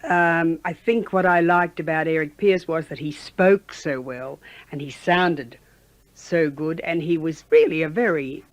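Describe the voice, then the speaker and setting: A woman's voice: low-pitched, no room sound. Older Australian woman reminiscing, dry close sound. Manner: chatty, halting, with frequent "you know". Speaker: former radio announcer being interviewed. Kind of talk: conversational reminiscence.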